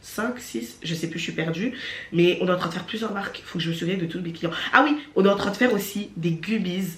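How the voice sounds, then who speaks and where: A young woman vlogging at home, chatty and animated, with a stuffy nose from a cold. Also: on the low side, quick pace. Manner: chatty and animated, laughing often. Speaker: vlogger and beauty entrepreneur. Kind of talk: casual vlog talking to camera. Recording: echoey room, voice from far off.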